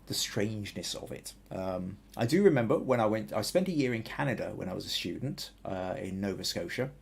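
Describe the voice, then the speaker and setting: Gravelly Southern English man critiquing photos. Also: fairly low pitch, animated, fairly quick. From England. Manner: thoughtful, rambling with restarts and fillers. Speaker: photography podcast host. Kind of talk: live photo critique and chat.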